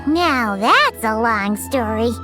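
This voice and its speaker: A woman voicing a tiny, childlike, chirpy companion creature in a video game, with a squeaky manner. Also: sing-song, takes it slowly. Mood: curious. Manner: squeaky, childlike, talks in third person. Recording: game music under the voice. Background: game music.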